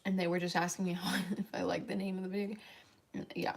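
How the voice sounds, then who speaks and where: Raspy-voiced young woman streaming late at night. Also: fairly low pitch, little pitch movement, quick pace. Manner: rambling, chatty, with frequent fillers and tangents. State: tired, voice wearing thin late at night. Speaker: livestreamer. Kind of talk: late-night casual stream chat, reading chat aloud.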